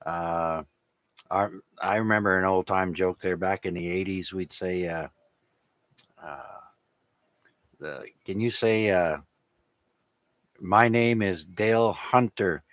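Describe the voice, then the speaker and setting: Deep-voiced man hosting a radio net. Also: takes it slowly, pauses often, a bit bright, no echo. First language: French. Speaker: amateur radio net host. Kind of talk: casual radio net chat.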